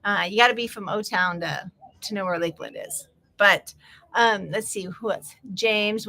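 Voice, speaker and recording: A middle-aged woman with an American accent chats casually on a livestream, rambling through asides and laughing often. Her voice is bright and sits a little high. She sounds friendly and unscripted. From United States. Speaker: livestream host.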